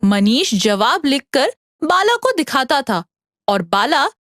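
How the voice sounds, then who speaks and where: A woman reading scripted narration for an animated children's story. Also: high-pitched, slow, dry recording.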